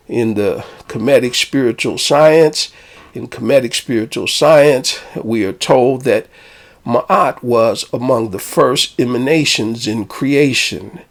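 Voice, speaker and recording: Man, spiritual teacher, unhurried delivery, mid-range voice, deliberate phrasing, occasional ums. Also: mid-range voice. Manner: measured, deliberate, with hesitant fillers. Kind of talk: reflective spiritual talk on his book.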